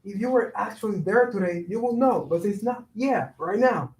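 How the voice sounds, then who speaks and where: A man's voice: high-pitched, lively intonation, normal speed, a little mellow. A man acting an earnest, worried and insistent friend in a staged play reading, blunt and pressing. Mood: agitated. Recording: echoey room.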